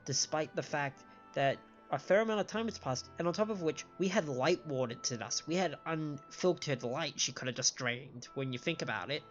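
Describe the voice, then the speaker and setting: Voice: mid-range voice, normal speed, few pauses. Analytical game streamer, dull lo-fi mic. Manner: analytical, explanatory. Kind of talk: casual live game commentary. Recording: dull lo-fi mic over faint music. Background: faint game music.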